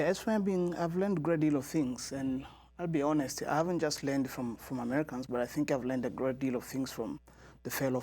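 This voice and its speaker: A man's voice: mid-range voice, dark tone, no echo. A man from Tanzania, a guest in a studio interview, speaking earnestly and often repeating words as he searches for them. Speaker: guest, member of parliament.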